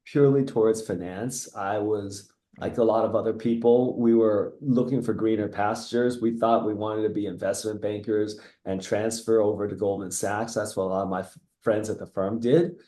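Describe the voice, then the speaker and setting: Guest, middle-aged US man, mellow, reflective and rambling, echoey room. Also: on the low side, fairly even intonation, normal speed. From United States (Seattle; born in Taiwan). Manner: reflective, rambling with restarts and soft laughs. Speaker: guest, entrepreneur. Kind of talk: casual interview.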